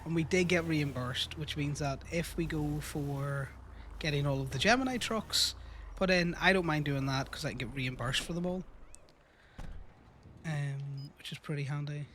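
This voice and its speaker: A man's voice: on the high side, normal speed, a bit bright, a little hiss or hum. Sniffly sick Irish man, chatty. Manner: chatty, self-mocking, wry. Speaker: streamer. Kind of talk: casual livestream chat. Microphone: desk microphone.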